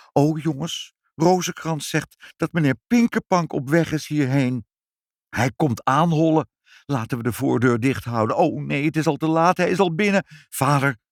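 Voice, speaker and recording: A man voicing an anxious, gentle mother in a storybook, speaking in an earnest, pleading way. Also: a little high, expressive, unhurried, dry recording, studio quality, pitched above the performer's own voice. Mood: alarmed. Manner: timid, earnest.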